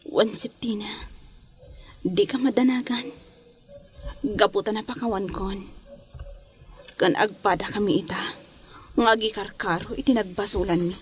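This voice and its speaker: A woman playing a gentle, forgiving young jailed rebel in an Ilocano radio drama, with an Ilocano Filipino accent and earnest delivery. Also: medium pitch, steady tone, unhurried, long pauses, over music, lightly acted.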